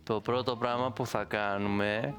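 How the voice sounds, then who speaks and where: A man's voice: fairly low pitch, fairly even intonation, a bit bright. A man presenting a casual tutorial in an informal, playful, rambling way. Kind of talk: casual screen-recorded tutorial. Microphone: close studio microphone. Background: music.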